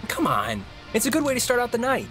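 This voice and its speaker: An eager teenage boy with an American accent. He rambles on, upbeat and a little awkward, his pitch jumping around animatedly. Faint music sits behind him. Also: huge pitch swings, quick pace, a bit bright, exaggerated voice. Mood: coaxing. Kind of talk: naturalistic video game acting.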